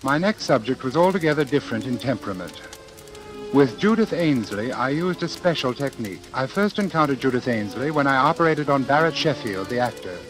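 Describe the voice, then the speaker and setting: A man's voice: medium pitch, animated, brisk, lightly acted. A man playing a cold, educated surgeon in an old-time radio drama, with formal, precise, theatrical diction. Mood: brooding, measured. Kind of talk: old-time radio drama, scripted first-person narration and dialogue. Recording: old radio recording with loud music behind.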